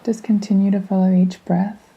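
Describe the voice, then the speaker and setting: A woman guiding a meditation in a gentle, soothing, unhurried way. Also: medium pitch, little pitch movement, creaky, highs cut off. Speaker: guided meditation instructor. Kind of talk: guided meditation narration.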